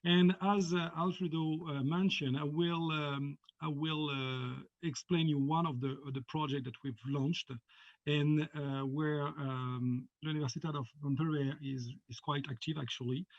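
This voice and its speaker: A middle-aged man with a French accent gives a halting online lecture over a video call, often repeating words. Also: fairly high pitch, fairly even intonation, dry recording. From France. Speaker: guest lecturer. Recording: dull, muffled video-call audio.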